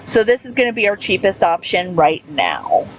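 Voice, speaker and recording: A woman giving casual gameplay commentary, reading game menus aloud in a halting way with fillers and restarts. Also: fairly low pitch, huge pitch swings, dull audio, a little hiss or hum. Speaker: gaming YouTuber.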